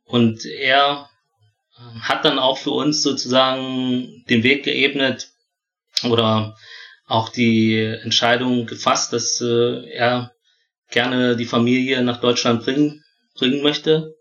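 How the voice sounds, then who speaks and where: A man in his thirties from Vietnam who grew up in Germany tells personal stories as an interview guest, often halting to search for words. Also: medium pitch, flat delivery, fairly bright tone, highs cut off. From born in Vietnam, grew up in Germany. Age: 30s. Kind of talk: personal storytelling in a conversational interview.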